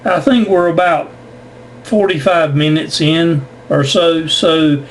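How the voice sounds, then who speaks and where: High-voiced man, ham radio operator, casual explanatory talk, repeats words, light noise behind. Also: slow pace. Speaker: amateur radio operator recording contacts for YouTube. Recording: noisy amateur radio audio.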